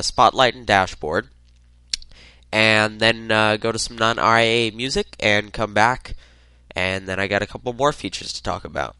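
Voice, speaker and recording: A man's voice: medium pitch, sharp tone, clear, resonant tone, no room sound. A man with a head cold hosting a solo tech podcast, talking loosely with lots of uhs. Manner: rambling, many filler uhs. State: congested from a cold. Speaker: podcast host.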